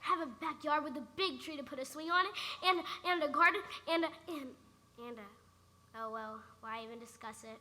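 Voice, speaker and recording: A woman's voice: sits high, a little fast, bright, forceful tone. An actress playing an earnest, slightly skeptical young girl in a radio play, childlike and matter-of-fact, a little hesitant. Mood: earnest, wistful.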